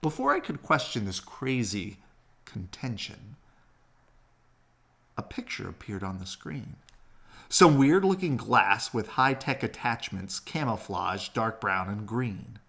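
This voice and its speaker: Man reading aloud, slow and very animated. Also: lowish voice, creaky, husky. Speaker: read-aloud storyteller. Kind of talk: expressive children's picture book reading.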